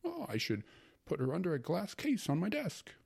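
A man reading aloud puts on a sentimental aging gentleman, fussy and pleading. The voice climbs far above the reader's own low tone, light and wistful. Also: huge pitch swings, normal speed, gravelly, breathy edge, exaggerated voice. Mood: coaxing. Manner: pleading, wistful, higher than the narration.

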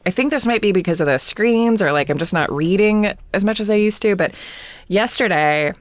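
A woman in her thirties co-hosting a casual chat, talking in quick bursts with frequent restarts and laughter. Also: mid-range voice, expressive, dry recording, studio quality. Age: 30s. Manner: chatty, laughs often, many restarts. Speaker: podcast co-host.

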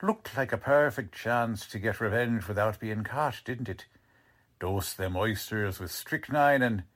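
A man's voice: fairly low pitch, measured pace, a bit bright. A man playing a stubborn, cocksure working-class police lieutenant in an old-time radio drama, talking in tough, ungrammatical slang. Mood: sarcastic, accusing.

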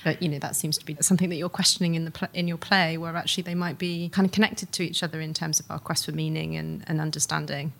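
A woman interviewing in a thoughtful academic style, setting out her questions in a measured, discursive way. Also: sits low, little pitch movement, gravelly, fairly bright tone.

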